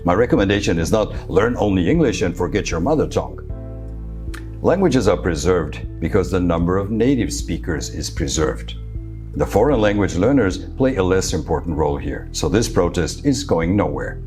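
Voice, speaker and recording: A man from Serbia whose first language is Serbo-Croatian, giving a measured, scripted talk as a presenter. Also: low-pitched, very animated, a little mellow, faint music behind. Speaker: futurist presenter.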